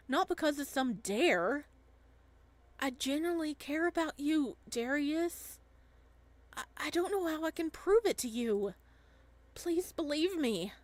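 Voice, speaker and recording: Visual novel lead, male, early twenties, earnest and easily flustered, higher voice woman performer. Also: no room sound. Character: timid, earnest, lovestruck young man of twenty-one. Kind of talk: visual novel dialogue reading.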